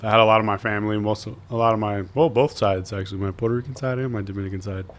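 A man's voice: fairly low pitch, fairly even intonation, rapid-fire. A young man from Florida, a podcast guest talking easily, with many uhs and restarts. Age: young adult. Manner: easygoing, hesitant, many uhs. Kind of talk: casual interview chat.

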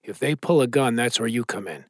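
A streetwise gambler and mentor played by a man in a noir audio drama. He speaks in terse, commanding orders at a middling pitch, recorded very dry. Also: animated, brisk, no echo, lightly acted. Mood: guarded, instructing.

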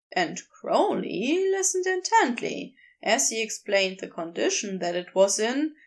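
Female storybook narrator for romantic fiction; slightly slow pace, plenty of vocal fry. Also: high voice. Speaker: podfic narrator reading fan fiction aloud.

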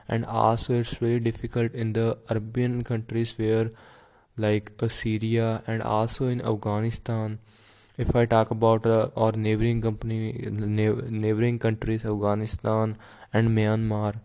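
A nineteen-year-old man, rambling casually at home in a halting way, full of fillers and restarts. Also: on the low side, vocal fry, warm tone. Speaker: vlogger.

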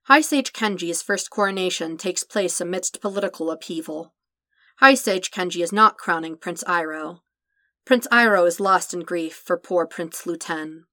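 A woman reading fan fiction aloud as a podfic, in a calm, measured narration. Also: lowish voice, no room sound, clean studio sound. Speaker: podfic reader.

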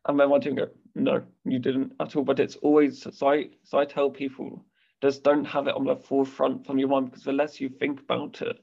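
A man's voice: mid-range voice, little pitch movement, fairly quick, gravelly, a little mellow, highs cut off. A young man who stammers, interviewing casually and sharing his own experience, with frequent restarts. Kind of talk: casual conversation.

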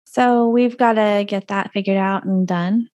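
A woman's voice: mid-range voice, steady tone, measured pace, a little mellow, no room sound. A woman co-hosting a podcast in a casual, rambling chat, laughing often and losing her train of thought, recorded over a video call. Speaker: podcast co-host. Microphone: video call audio.

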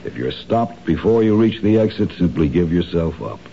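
A deep, gravelly blackmailing thief. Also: male voice, very animated, dark tone, a little hiss or hum, exaggerated voice. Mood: coolly persuasive. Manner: smooth, unhurried, coolly persuasive. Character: suave menacing male thief, blackmailer. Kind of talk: 1970s radio drama acting. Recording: dull old radio broadcast.

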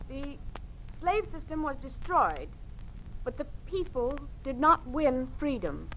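A hesitant schoolgirl reciting slowly in a high, bright voice. She sounds off-mic and distant in an old radio drama. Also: big pitch swings, heavily acted. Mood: unsure. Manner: halting, unsure. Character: hesitant young female student. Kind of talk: 1950s radio drama acting.

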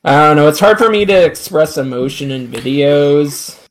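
A man in a casual group chat speaking hesitantly in a voice he calls monotone. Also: a little high. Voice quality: self-described monotone voice. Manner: hesitant, halting. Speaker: YouTuber.

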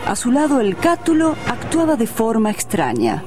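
A woman's voice: a little high, wildly swooping pitch, moderate pace. A woman narrating a comic radio play in a dramatic, scripted style. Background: music.

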